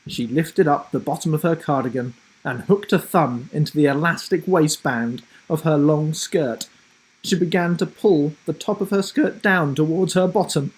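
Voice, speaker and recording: Male children's storyteller: unhurried, highly expressive intonation, slightly husky tone, dramatic delivery. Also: rough recording. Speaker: storybook reader, host. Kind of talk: animated children's story narration.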